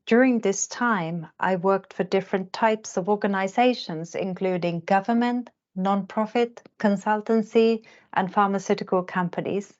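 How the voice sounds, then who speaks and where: A woman in her forties from Finland, a health economist giving a scripted lecture in a careful, measured way. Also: medium pitch, a little mellow. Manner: measured, carefully paced delivery. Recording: slightly dull lo-fi recording.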